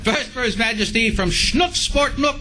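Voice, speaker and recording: A man's voice: very high voice, moderate pace, bright, forceful tone. A man playing a showy game show host in an old-time radio comedy sketch. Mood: showy, mischievous.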